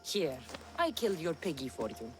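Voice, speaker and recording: A woman's voice: low-pitched, very animated, moderate pace, faint music behind. A woman playing a tough, confident mercenary of ancient Greece in a video game cutscene, speaking bluntly. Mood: teasing, confident.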